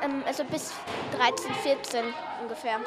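A woman's voice: high voice, steady tone, normal speed, a loud crowd. A young girl, giving casual answers as an interviewee. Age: child, about 8 to 12.

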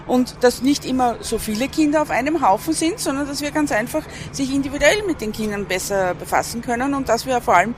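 A woman's voice: high voice, animated, a little fast, few pauses. A woman, explaining her points in a street interview as a staff representative, often rephrasing. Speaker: after-school educator and works council representative. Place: outdoors at a street demonstration. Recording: noisy outdoor street recording with traffic.

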